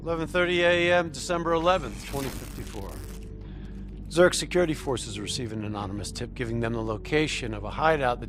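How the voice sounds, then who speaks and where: Male actor as cocky soldier mentor, lively intonation, wry theatrical delivery, video game cutscene, music behind. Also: mid-range voice, natural voice. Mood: wry, briefing. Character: cocky male military operative mentor inside a simulation. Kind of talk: game cutscene voice acting, expository briefing.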